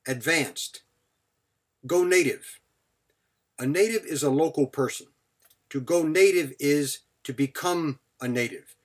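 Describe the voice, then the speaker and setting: A man's voice: fairly high pitch, measured pace, long pauses. A man giving a scripted English vocabulary lesson as a teacher, speaking in short, clipped phrases followed by example sentences.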